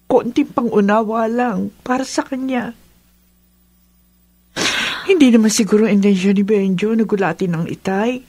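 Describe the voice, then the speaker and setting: A woman's voice: fairly high pitch, darkish tone, no echo. An anxious, worried mother. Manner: imploring, anxious. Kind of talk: radio horror drama acting.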